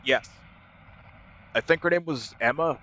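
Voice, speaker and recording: A frightened male homeowner played by a man on an emergency call, halting and uneasy. His voice is bright and tense, with faint noise behind it. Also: on the high side. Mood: fearful, uneasy. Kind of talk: acted emergency phone call.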